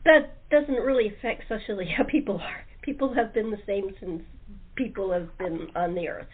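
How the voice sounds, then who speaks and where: A woman's voice: medium pitch, normal speed, slightly rough. A woman hosting a casual, informal interview chat about her creative work. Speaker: host, radio-play writer and actor. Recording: muffled phone-line quality.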